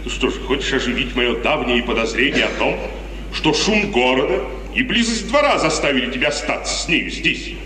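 A man's voice: mid-range voice, animated, fairly quick, bright, forceful tone. Stern father, wildly swinging delivery, echoing. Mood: indignant. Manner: declamatory, emphatic. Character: stern, proud, suspicious father of a grown daughter. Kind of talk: 1950s Russian radio theatre acting. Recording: noisy, reverberant 1950s radio recording, distant.

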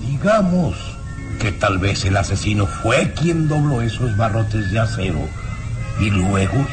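Over clear music, a cold aristocratic father in a radio drama. His lowish voice moves very slowly and deliberately, placating yet calculating. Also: animated. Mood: coolly dismissive.

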